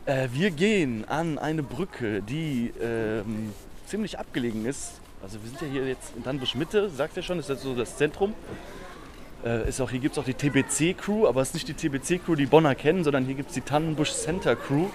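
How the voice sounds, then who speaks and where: Laid-back slangy male guest, outdoors, clear background noise. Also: mid-range voice, moderate pace. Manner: relaxed, slangy, rambling. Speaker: graffiti artist guest. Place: outdoors under a bridge.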